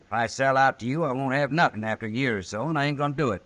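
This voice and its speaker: A man's voice: mid-range voice, rapid-fire, a bit bright, close to an everyday voice. A man playing an honest, stubborn mule driver with a rural American accent, plain-spoken, in an old-time radio western. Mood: defiant. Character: honest, stubborn mule-driving freight hauler.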